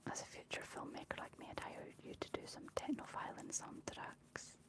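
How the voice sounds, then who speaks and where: A woman with a Scottish accent whispering as an ASMR live streamer, reading and answering chat messages. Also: brisk. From Scotland. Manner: speaks entirely in a whisper.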